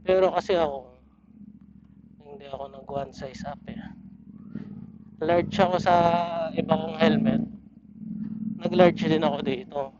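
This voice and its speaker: A man's voice: fairly high pitch, fairly even intonation, measured pace, long pauses. A man with a Filipino accent chatting casually and in a rambling way as he rides a motorcycle, talking into a helmet mic. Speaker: motovlogger. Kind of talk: casual rambling chat while riding. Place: outdoors on a moving motorcycle, inside a helmet. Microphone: helmet intercom mic. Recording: muffled helmet mic, loud motorcycle noise. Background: loud motorcycle engine and road noise.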